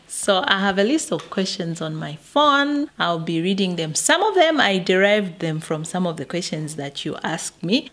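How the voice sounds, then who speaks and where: A Zambian woman in her forties with a Zambian accent, hosting her own channel and reading get-to-know-me questions aloud before answering each one. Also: fairly low pitch. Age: 40s. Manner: unhurried, reads questions aloud then answers. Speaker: YouTuber.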